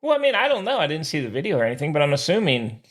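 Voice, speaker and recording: A man's voice: on the high side, huge pitch swings, rapid-fire, fairly bright tone. A middle-aged man co-hosting a sports podcast, bantering casually in a playful, teasing, colloquial way.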